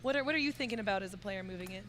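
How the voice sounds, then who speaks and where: A woman's voice: medium pitch, very fast, fairly bright tone, slight background noise. Upbeat young woman esports caster. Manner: upbeat, friendly, with light laughs. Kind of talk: tournament commentary.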